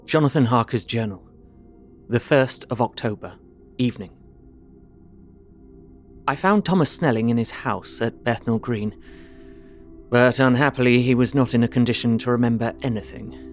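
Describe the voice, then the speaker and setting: Actor for earnest Victorian gentleman, British, medium pitch, measured formal journal narration for audio drama, faint music beneath. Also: close to an everyday voice. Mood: methodical, intent.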